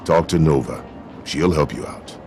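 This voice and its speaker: A man playing a gruff, folksy frontier sheriff and mayor in a video game, plainspoken and authoritative. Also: very deep voice, moderate pace, over music. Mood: businesslike, wary. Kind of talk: video game character dialogue.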